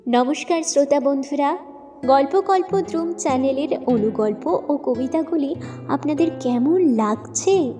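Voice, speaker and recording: A woman reading a scripted, polite and formal announcement to listeners. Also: high voice. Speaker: channel announcer. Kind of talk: scripted channel outro. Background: music with guitar.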